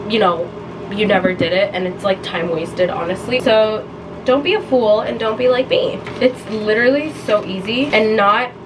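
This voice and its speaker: A young woman who is sick and hoarse chats casually to camera in a home vlog, her voice raspy and often sinking toward a near-whisper. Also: mid-range voice, moderate pace, noisy background. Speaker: lifestyle vlogger. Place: home, indoors.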